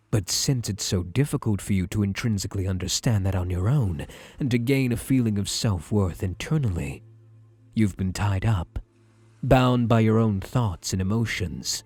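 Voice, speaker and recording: A man acting as a softly controlling male therapist for an ASMR roleplay. His low voice swoops and dips through slow, deliberate reassurances, hushed and breathy, with an obsessive, doting tenderness. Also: dry recording. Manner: soothing, deliberate, hushed, drops into breathy murmurs.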